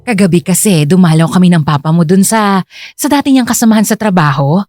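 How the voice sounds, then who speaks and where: A woman's voice: lowish voice, expressive, fairly quick, a little mellow, no room sound, studio quality, lightly acted. An actress playing a middle-aged Filipino mother in a radio drama, chatty, insistent and coaxing in homely everyday speech.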